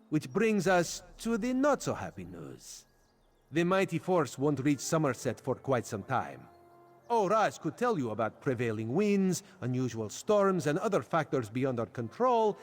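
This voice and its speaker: An actor playing a wry, loyal cat-man agent in a video game, theatrical, speaking of himself in the third person. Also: a little high. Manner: theatrical, refers to himself by name. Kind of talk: video game character dialogue. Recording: background music under the voice.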